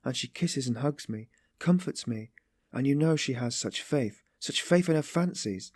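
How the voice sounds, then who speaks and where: A man's voice: mid-range voice, lively intonation, moderate pace, darkish tone, no echo, voice pushed up, lightly acted. A man voicing an anguished, meek but passionately compassionate young woman, pleading in breathless exclamations and repetitions for an audiobook story. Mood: sorrowful.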